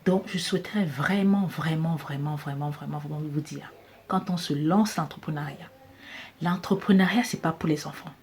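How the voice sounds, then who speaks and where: Low-voiced Cameroonian French woman, emphatic. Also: moderate pace, a little mellow. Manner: emphatic, repeats key phrases for stress. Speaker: business coach vlogger. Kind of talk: motivational talk to camera.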